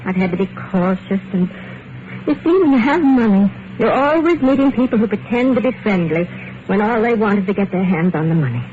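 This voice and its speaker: A dull, noisy vintage radio recording carries an actress as a gentle heiress. She is an earnest, hesitant woman, trusting and lonely in the manner of an old mystery play. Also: medium pitch, lively intonation, fairly quick, lightly acted. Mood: wistful, trusting. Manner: earnest, hesitant, soft-hearted.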